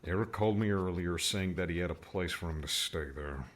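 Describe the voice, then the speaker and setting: A man's voice: low-pitched, a little fast, a bit bright. An actor playing a weary, short-tempered man in an audiobook story, serious and aggravated. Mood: quiet, grim. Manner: aggravated, emphatic.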